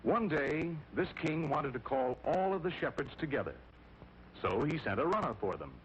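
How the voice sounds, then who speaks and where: A man's voice: a little high, very animated, normal speed. A man narrating a scripted children's story in a theatrical manner that addresses young listeners directly, on an old cartoon soundtrack. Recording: dull, noisy vintage cartoon soundtrack.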